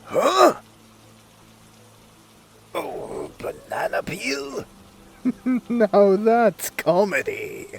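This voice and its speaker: Crazy clown villain for a comic dub, theatrical n taunting, gravelly, pitch all over the place. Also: male voice, very animated, drawn-out words, long pauses, heavily acted. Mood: sly, amused. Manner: theatrical, mocking, swooping with laughter. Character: manic, gleeful male clown supervillain, theatrical and taunting. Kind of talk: comic book dub acting.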